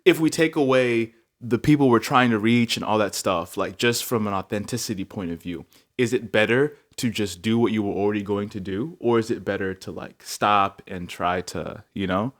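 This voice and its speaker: A young man from Africa co-hosting a faith podcast, musing aloud in casual chat with lots of fillers and lively leaps in pitch. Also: moderate pace, hardly any pauses. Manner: reflective, many filler likes, animated jumps in pitch. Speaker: podcast co-host.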